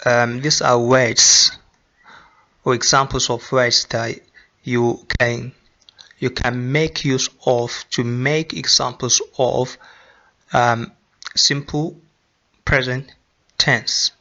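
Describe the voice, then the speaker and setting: A man from Nigeria teaches a grammar lesson at a very slow, careful pace, going over each example sentence more than once. His voice is bright and forward, with a plain middle pitch. The recording sounds a little dull and lo-fi. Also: flat delivery. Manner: repeats example sentences slowly, with filler ums. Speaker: English teacher.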